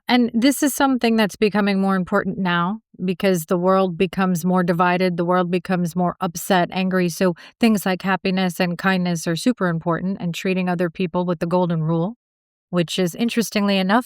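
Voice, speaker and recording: Smooth-voiced woman podcast host. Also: on the low side, fairly even intonation, a little fast, dry recording, studio quality. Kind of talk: interview conversation.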